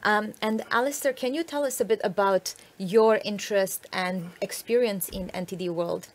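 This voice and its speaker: A woman's voice: mid-range voice, normal speed. A young woman hosting a live studio Q&A, asking questions politely with some hesitation. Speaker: host.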